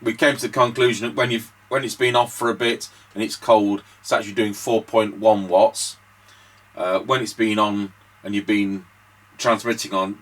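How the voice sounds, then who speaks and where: Bloke doing a casual radio repair demo, lowish voice. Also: male voice, a bit bright. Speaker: radio repair host and operator. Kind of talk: casual demonstration commentary.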